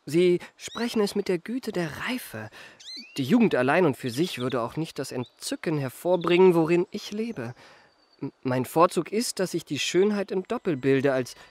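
An actor playing a suave young marquis in a radio drama, speaking in a florid, courtly, teasing way. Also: male voice, a little high, animated, normal speed, slight background noise, lightly acted. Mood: flattering. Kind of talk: German radio drama acting.

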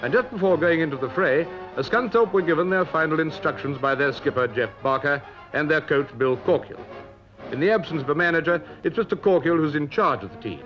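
A man's voice: fairly high pitch, lively intonation, brisk. A British man reading scripted narration as a reporter on a 1950s newsreel. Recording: dull 1950s newsreel soundtrack. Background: music.

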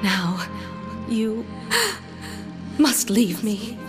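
A woman's voice: mid-range voice, drawn-out words, music in the background. An actress playing a seductive, solemn female Death in a video game, dramatic, tender and ominous. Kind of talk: video game character acting.

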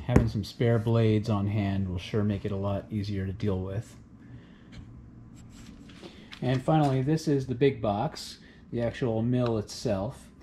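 A man casually narrating as he unboxes sawmill equipment in his garage, explaining each piece as he goes with relaxed fillers. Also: lowish voice, a little hiss or hum.

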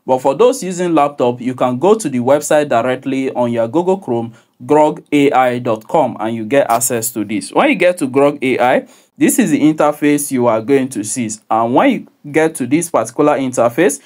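A man presents a software tutorial. His medium-pitched voice is deliberate and instructive as he explains things step by step. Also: animated. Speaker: tutorial host.

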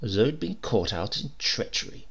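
A man reading a comic short story aloud as narrator, expressive and lively in his delivery. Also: lowish voice, normal speed, breathy edge. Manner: lively, expressive reading with varied character voices. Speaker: audiobook reader.

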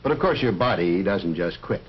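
Muffled old-film coach, lively and earnest. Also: male voice, deep voice, moderate pace. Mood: earnest lecturing. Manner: didactic, earnest, explanatory. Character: firm, fatherly male coach and biology teacher, lecturing. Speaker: coach and biology teacher. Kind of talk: scripted 1950s educational film acting. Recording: muffled, noisy old educational film soundtrack.

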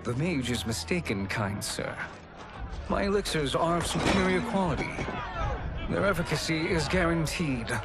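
An actor playing a scheming male sorcerer posing as a kindly old peddler, with a smooth, theatrical sales-pitch delivery, in a video game cutscene. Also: animated, normal speed, over loud music. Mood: dismissive.

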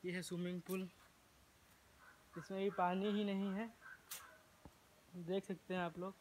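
A man's voice: sits high, level tone, a little slow, a little mellow, slight background noise, low-quality recording. A young man vlogging outdoors at a farm house, joking and talking straight to a phone camera held at arm's length. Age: young adult. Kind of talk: casual vlog narration. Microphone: phone selfie camera at arm's length.

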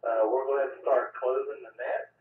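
A man's voice: medium pitch, flat delivery, moderate pace. A man speaking as an amateur radio net control operator, in procedural, courteous and matter-of-fact radio talk over a two-way radio. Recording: tinny narrowband radio, distant, echoey, noisy.

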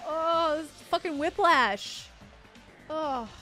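A woman's voice: sits high, slow, bright, forceful tone. A woman co-hosting a gaming channel, reacting casually and expressively, with free swearing. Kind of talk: casual reactive let's-play chat. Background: game music.

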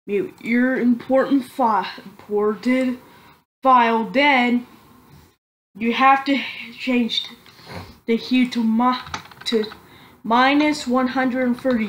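A woman narrating a screen-recorded software tutorial, halting and correcting herself as she goes. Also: a little high, low-quality recording. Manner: slow, halting, self-correcting. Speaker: tutorial maker.

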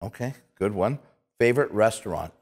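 A man, chatting casually and haltingly as he hosts an interview show. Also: on the low side, expressive. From Canada. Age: 50s. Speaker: talk show host. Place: small indoor studio set, seated on couch. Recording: roomy studio with long reverb.

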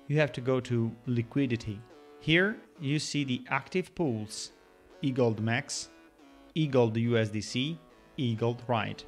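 Guy doing a calm crypto walkthrough voiceover, mid pitch, some quiet guitar under it. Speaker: crypto channel host. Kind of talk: scripted voice-over explainer with screen walkthrough. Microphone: voice-over over screen recording. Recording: faint background guitar music.

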